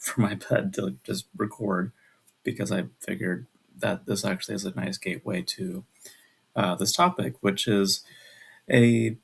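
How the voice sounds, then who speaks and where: Young man, low pitch, gravelly fry, hushed delivery, hesitant, rambling, casual vlog chat. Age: 20s. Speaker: video creator. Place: at home at night.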